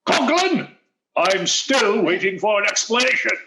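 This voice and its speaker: An actor playing a blustery, pompous older boss in 1940s radio sitcom style. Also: male voice, sits high, big pitch swings, unhurried, pushed and bright, exaggerated voice, low-quality recording. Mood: indignant. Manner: pompous, theatrical delivery. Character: blustery, demanding older male boss, easily indignant.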